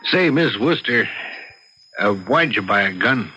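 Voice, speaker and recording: Offhand country sheriff, very sharp, forceful tone, casually probing; muffled vintage radio drama. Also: male voice, mid-range voice, lively intonation, a little slow, husky, dry recording, soft background music, heavily acted. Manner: colloquial, offhand. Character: folksy, casually probing small-town sheriff. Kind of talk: old-time radio mystery acting. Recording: dull, slightly noisy radio-drama recording.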